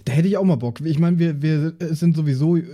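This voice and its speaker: Mellow man chatting at leisure. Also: on the high side. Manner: halting, discursive. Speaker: podcast player. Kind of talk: casual chat.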